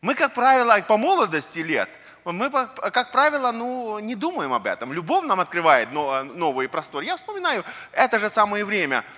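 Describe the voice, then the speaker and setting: A man's voice: high voice, huge pitch swings, normal speed, pushed and bright. A middle-aged man preaching a conversational sermon, earnest and full of rhetorical questions, sometimes stumbling over words and restarting. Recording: long reverb of a large hall.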